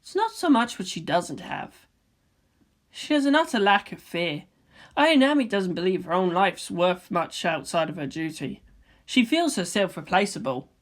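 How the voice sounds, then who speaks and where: A woman's voice: fairly low pitch, big pitch swings, normal speed. A woman voicing an earnest, shy, caring teenage boy in a fan fiction reading. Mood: gently reassuring.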